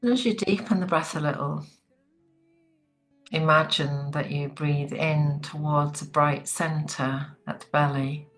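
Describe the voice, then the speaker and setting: A woman leading a guided relaxation meditation in a soothing, gentle, unhurried manner. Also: sits low, fairly even intonation, faint music behind, rough recording.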